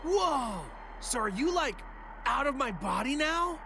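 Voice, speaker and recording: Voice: sits high, big pitch swings, unhurried, full character voice. An actor voicing a cheerful, naive martial arts hero in an anime video game, talking casually over music. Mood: casual, curious. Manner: naive, casual, good-natured. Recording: music behind the voice.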